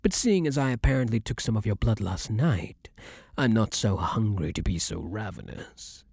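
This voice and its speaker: A man playing a formal, tender vampire king in an intimate ASMR roleplay, speaking in measured, old-fashioned courtly phrases. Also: lowish voice, animated, vocal fry, a little mellow, slightly rough, no echo, clean studio sound, full character voice. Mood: gentle, concerned.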